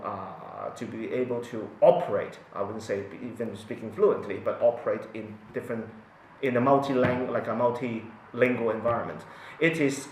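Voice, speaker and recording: A man's voice: lowish voice, distant mic. A man in his forties, born in Hong Kong and a native Cantonese speaker, talks off the cuff in a hesitant way, full of restarts and fillers. He sits back from the mic in a room with noticeable echo. A faint hum of ambience sits underneath. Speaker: parent sharing his experience. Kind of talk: unscripted talk to camera.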